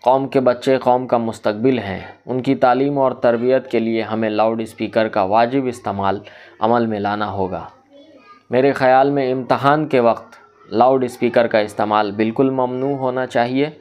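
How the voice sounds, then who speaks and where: A man delivering a scripted social commentary in a formal, measured, oratorical manner. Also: mid-range voice, fairly even intonation, darkish tone. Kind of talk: scripted reformist monologue in literary Urdu.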